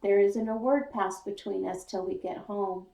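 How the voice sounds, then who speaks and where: A woman reading a children's novel aloud in first person, with deliberate, expressive storytelling. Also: medium pitch, creaky. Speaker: audiobook reader, school speech paraprofessional. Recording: echoey room, slightly distant mic.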